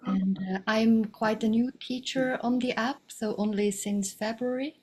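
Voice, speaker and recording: Calm Swiss woman, mellow voice. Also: on the high side, unhurried, slightly rough. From Switzerland. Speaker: yoga teacher and coach. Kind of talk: casual self-introduction.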